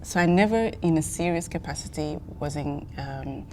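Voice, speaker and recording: A woman's voice: normal speed, mellow. A woman in her forties from Belgium, speaking reflectively as an interview guest. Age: 40s. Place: seated in empty theatre auditorium. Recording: faint background rumble.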